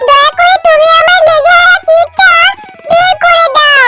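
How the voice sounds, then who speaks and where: A woman plays a kind magical goldfish in a children's cartoon. The voice is extremely high and childlike, speaking over prominent music. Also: steady tone, moderate pace. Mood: pleading. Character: kind magical golden fish, grateful helper. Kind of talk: animated children's cartoon dialogue. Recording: music behind the voice.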